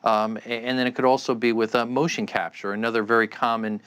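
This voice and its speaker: A man's voice: mid-range voice, level tone, quick pace, a bit bright, smooth. A man giving unscripted expert answers in an interview, explaining things with frequent stammered repeats. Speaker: expert guest, commentator.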